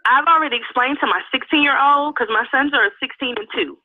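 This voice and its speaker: Woman caller, stuffy from a cold, earnest and firm, tinny dry phone line, fairly high voice. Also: brisk, dry recording. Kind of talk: phoned-in call on a podcast.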